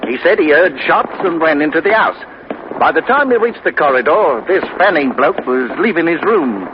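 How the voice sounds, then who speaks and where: A man's voice: on the high side, big pitch swings, normal speed. An actor playing a respectful, earnest British policeman reporting to his superior in an old radio drama. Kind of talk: 1950s radio acting. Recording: muffled, noisy vintage radio recording.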